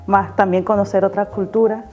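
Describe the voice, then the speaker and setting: A woman's voice: mid-range voice, level tone, brisk, a little mellow, muffled recording, faint music behind. A woman in her thirties with a Caribbean Colombian accent, chatting casually as a guest writer in an interview. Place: small TV studio set, indoors.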